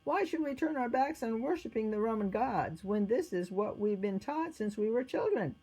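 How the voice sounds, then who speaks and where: Storybook chief jailer, male, outspoken and excitable, acted by a woman reader; emphatic delivery, husky tone. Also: a little high, normal speed, pitched above the performer's own voice, rough recording. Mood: challenging. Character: gruff Roman chief prison guard, a father, outspoken.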